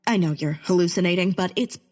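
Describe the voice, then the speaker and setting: Woman playing a low, husky, dismissive poet. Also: fast talker, a little mellow, big-room sound, close to an everyday voice. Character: dry, self-serious woman poet, dismissive. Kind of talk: comedic audio drama acting.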